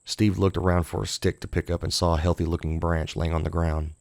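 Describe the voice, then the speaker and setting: A man's voice: low-pitched, little pitch movement, brisk, vocal fry, darkish tone, no room sound, clean studio sound. A man reading scripted horror fiction as an audiobook narrator.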